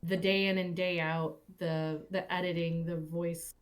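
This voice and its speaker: A woman in a reflective, casual panel conversation, speaking in halting, thoughtful phrases. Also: on the low side, flat delivery. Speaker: cast or crew member on a panel.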